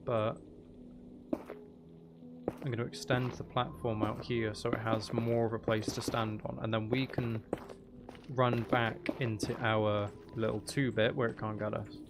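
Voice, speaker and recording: A man's voice: mid-range voice, level tone, measured pace. Man with crackly voice doing gameplay commentary. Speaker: gamer playing Minecraft. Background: background music.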